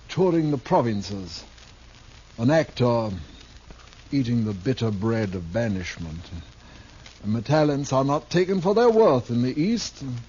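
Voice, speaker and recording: An actor playing a theatrical, evasive travelling Shakespearean in 1950s radio drama, with a florid, declamatory, halting delivery. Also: male voice, medium pitch, mellow, lightly acted. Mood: hesitant, evasive. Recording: muffled, noisy vintage radio recording.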